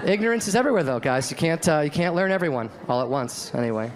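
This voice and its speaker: A deadpan male comedian in his thirties tells his stories on a club stage through a handheld mic. Laughter from a packed room rises behind him, and a steady hiss runs under the live recording. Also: fairly high pitch, quick pace, crowd noise. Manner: deadpan storytelling, acting out voices of others. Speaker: stand-up comedian. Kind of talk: stand-up routine. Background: audience laughter.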